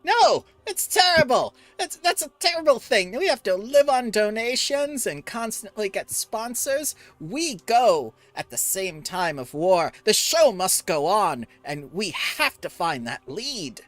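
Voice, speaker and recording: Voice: sing-song, a little slow, few pauses, fairly bright tone, no room sound. A game master voicing a dramatic male theater director in a tabletop game, theatrical and exclaiming. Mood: indignant. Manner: theatrical, exclaiming, sweeping pitch. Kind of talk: tabletop roleplay NPC voice.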